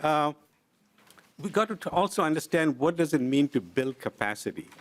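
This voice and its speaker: A middle-aged man testifying before a legislative committee. He speaks in an earnest, deliberate way and pauses often with "uh". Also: on the high side, big pitch swings. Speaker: invited presenter at a legislative committee. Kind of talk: formal testimony, explaining with examples. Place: legislative committee hearing room.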